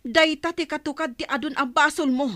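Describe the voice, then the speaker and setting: A woman's voice: high-pitched, steady tone, a little fast, pushed and bright, dry recording, clean studio sound. A woman with a Filipino accent playing a stern, principled young tribeswoman, a chieftain's daughter, in a radio drama.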